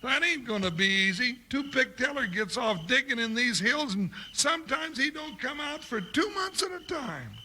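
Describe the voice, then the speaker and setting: Blustery comic cowpoke, very high voice, American Western accent, folksy radio drama, heavy noise and clicks. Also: male voice, sing-song, normal speed, hardly any pauses, exaggerated voice. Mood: grumbling. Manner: folksy, exclamatory, drawling bluster. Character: big, blustery, comic cowboy sidekick, soft-hearted grumbler. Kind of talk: 1950s radio Western acting. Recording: noisy, clicking 1950s radio recording.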